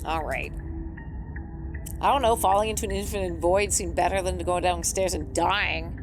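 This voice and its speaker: A woman's voice: on the low side, steady tone, moderate pace. A woman doing casual let's play commentary, reacting aloud in a playful, chatty way. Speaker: gaming video creator. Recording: game music behind the voice. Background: video game music.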